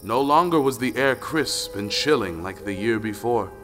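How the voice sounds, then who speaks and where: A man's voice: medium pitch, expressive, normal speed, fairly bright tone, close to an everyday voice. A man narrating a folktale audio play as a dramatic storyteller. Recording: long reverb, music underneath.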